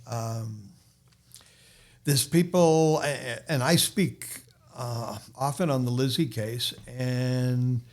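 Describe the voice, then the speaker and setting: A man's voice: mid-range voice, slow. A man with a Boston accent, a podcast guest and author, talking in a casual chat with many restarts and fillers.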